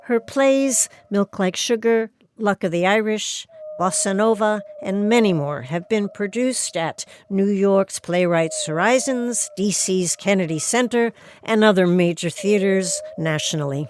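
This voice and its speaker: A woman's voice: mid-range voice, measured pace, hardly any pauses, darkish tone, slight background noise. A woman reading a scripted introduction as the host of an audio play series. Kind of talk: scripted narration.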